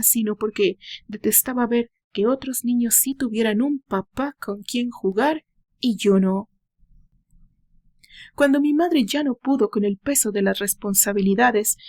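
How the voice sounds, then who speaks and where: A woman plays a wounded young man in an audio novel. The voice is fairly high, plaintive and heavy with old resentment, speaking in a dramatic monologue. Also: darkish tone, natural voice. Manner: plaintive, sorrowful. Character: wounded, resentful young man recalling his absent father.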